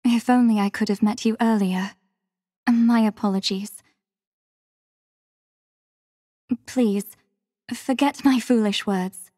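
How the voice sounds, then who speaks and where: A woman voicing a devout young female warrior in an anime-style game, gentle and solemn, speaking formally and with measured earnestness. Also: fairly high pitch, fairly even intonation, no echo, clean studio sound. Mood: solemn, tender.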